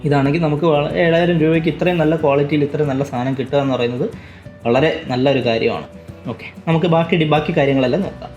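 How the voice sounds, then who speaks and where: A man's voice: fairly high pitch, fast, a little mellow, muffled recording, soft background music. A man giving a casual, explanatory unboxing review, often repeating and rephrasing himself as he goes. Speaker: tech vlogger and product reviewer.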